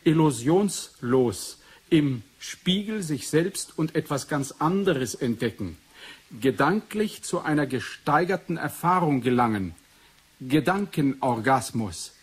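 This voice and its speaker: An actor playing an analytical, brooding research doctor in a German 1980s science fiction radio play, speaking in a measured, formal way. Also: male voice, a little high, expressive, husky, exaggerated voice. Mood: detached, analytical. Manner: measured, formal, clipped dictation.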